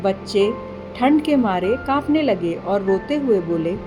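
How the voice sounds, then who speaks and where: A woman's voice: mid-range voice, expressive, measured pace, over loud music. A woman giving scripted narration of a children's story.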